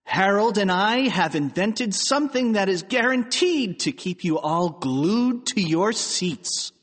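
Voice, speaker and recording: A man's voice: sits high, expressive, slow, fairly bright tone, no room sound, voice pushed up, full character voice. A man voicing an eager, cheeky fourth-grade schoolboy in a children's audiobook, with an enthusiastic, mischievous manner. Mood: showy.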